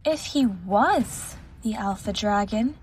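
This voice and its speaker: A woman's voice: medium pitch, animated, takes it slowly, a little hiss or hum. A woman playing a haughty, sardonic tribunal member in a fantasy audio drama. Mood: reasoning.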